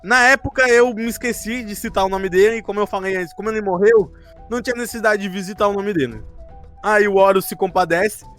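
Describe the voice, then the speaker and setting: High-voiced man, playfully defensive game master. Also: fast, fairly bright tone. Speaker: game master recapping a tabletop RPG campaign. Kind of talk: casual group chat about a role-playing campaign. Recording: music behind the talk. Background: music.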